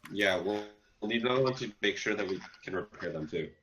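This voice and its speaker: A man's voice: lowish voice, fast, fairly bright tone. A man playing a tabletop game, thinking aloud about practical plans. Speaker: player. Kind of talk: casual tabletop game chat. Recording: muffled home recording.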